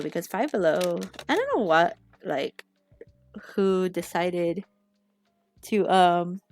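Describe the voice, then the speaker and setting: A woman's voice: lowish voice, no room sound. A young woman streaming from home on a headset mic, chatting in a rambling way with lots of fillers and sounding a little sniffly from allergies. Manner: chatty, rambling, loses her train of thought with many fillers. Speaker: art streamer. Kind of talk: casual rambling livestream chat.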